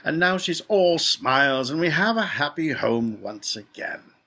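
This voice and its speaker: A man's voice: a little high, animated, measured pace, pushed and bright, pitched above the performer's own voice, full character voice. A man voicing a boastful, doting rich father from a storybook, talking in an emphatic, folksy way. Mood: exasperated, then relieved. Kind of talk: animated storybook character voice.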